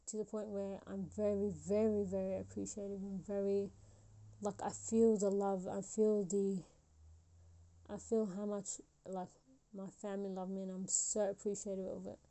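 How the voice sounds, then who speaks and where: A woman's voice: medium pitch, level tone, moderate pace, warm tone. A woman of about twenty hosting her own podcast, talking reflectively and rambling with many fillers and restarts. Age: 20s. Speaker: podcast host. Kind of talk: solo reflective podcast talk.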